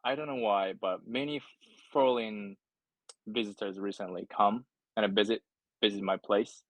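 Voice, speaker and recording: A man from Japan with a Japanese accent, chatting casually as an English learner, halting and repeating words as he searches for phrasing. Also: on the low side, steady tone, fairly bright tone, dry recording, low-quality recording. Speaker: English learner in an online lesson. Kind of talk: casual conversation practice in English.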